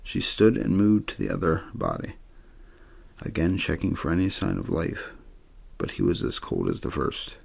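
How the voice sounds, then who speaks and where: A man reading a story aloud in a low-key, untheatrical ASMR style, with a gravelly, husky voice. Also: big pitch swings, moderate pace, darkish tone. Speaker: audiobook-style story reader. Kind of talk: ASMR bedtime reading of a horror novel.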